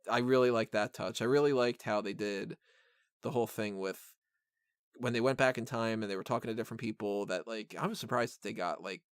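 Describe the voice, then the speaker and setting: Clear-voiced man in casual review chat. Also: on the low side, brisk, no room sound, studio quality. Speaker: podcast co-host reviewing a film.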